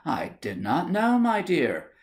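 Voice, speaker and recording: Male reader as placid Victorian husband, mild, courteous, unruffled, higher-pitched, storybook dialogue. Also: wildly swooping pitch, slow, heavily acted. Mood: mildly puzzled.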